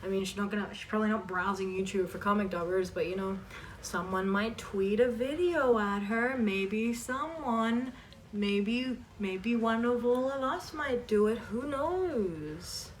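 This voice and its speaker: A woman's voice: mid-range voice, unhurried, distant mic, a little hiss or hum. A woman hosting a casual question-and-answer chat for her viewers, informal and emphatic as she reads questions aloud and answers them.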